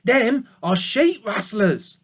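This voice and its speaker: A man's voice: high-pitched, very animated, takes it slowly, breathy edge, voice pushed up, full character voice. A man voicing an old, motherly country sheep in a storybook, puffing and speaking in a folksy rural way. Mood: worried. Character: old motherly country ewe, fretful and kind.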